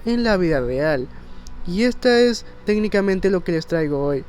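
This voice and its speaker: A man's voice: sits high, darkish tone. A man narrates an informal countdown video. He explains things in a wordy, conversational way. Faint music plays behind him. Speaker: video narrator, content creator.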